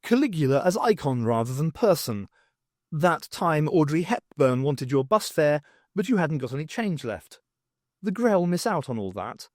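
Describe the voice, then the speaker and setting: A man reading a science fiction novel aloud as scripted, expressive audiobook narration. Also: on the high side, normal speed, dry recording, studio quality. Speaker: audiobook reader.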